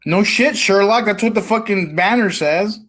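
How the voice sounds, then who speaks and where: Sarcastic higher-voiced man trolling. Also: normal speed. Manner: sarcastic, crude, deadpan mockery. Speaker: live stream host. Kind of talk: trolling live call chat.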